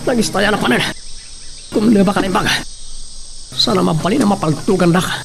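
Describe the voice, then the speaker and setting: A man's voice: high voice, fairly quick, vocal fry, very noisy. A man acting a tense scene in a radio drama, talking to himself, with music underneath.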